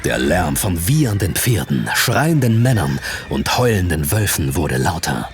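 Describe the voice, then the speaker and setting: A man's voice: sits low, big pitch swings, measured pace, shouting, faint music behind, full character voice. A man narrating a tense fantasy adventure audiobook in a dramatic, scripted style.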